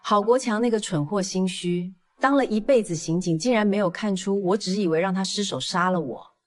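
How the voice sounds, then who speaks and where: A woman's voice: medium pitch, a little fast, close to an everyday voice. Vengeful young female criminal played by a woman, audio drama; cold, contemptuous delivery. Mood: cold, scornful. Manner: contemptuous, coolly taunting. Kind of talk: dramatized audio fiction acting.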